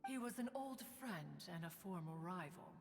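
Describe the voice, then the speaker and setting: A woman's voice: on the low side, lively intonation, normal speed, gravelly. A woman playing a haughty, ancient bird immortal in a video game, speaking in pompous, archaic formal phrasing. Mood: wistful storytelling. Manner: pompous, archaic, refers to self as "one". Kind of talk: video game cutscene acting. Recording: long reverb, music behind.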